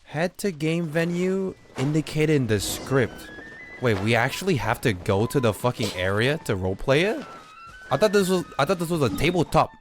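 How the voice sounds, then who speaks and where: A man with a fairly high voice commentates on a game livestream. He is casual and slangy, swears freely and repeats himself, with faint game music behind. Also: very animated, moderate pace. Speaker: streamer.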